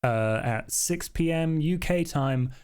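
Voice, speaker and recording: Male podcast host, higher-pitched voice, welcoming and enthusiastic, casual unscripted delivery. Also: takes it slowly, a little mellow, clean tone. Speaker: podcast host and game storyteller.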